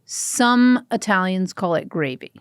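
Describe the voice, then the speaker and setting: A woman's voice: mid-range voice, animated, unhurried, clear, resonant tone, dry recording, clean studio sound. An American woman guesting on an improvised comedy podcast, gracious and playing along with her hosts. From United States.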